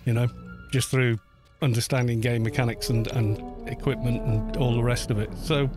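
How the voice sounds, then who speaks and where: A man's voice: mid-range voice, fairly even intonation, moderate pace. A Welshman in his fifties talks loosely over his game stream, his accent drifting toward northern English. He rambles, laughs easily and thanks viewers in an ordinary mid-range voice. Faint music plays underneath. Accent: Welsh with some northern English. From Wales. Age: 50s. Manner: chatty, rambling, laughs easily, reads chat aloud. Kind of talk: live stream commentary while playing.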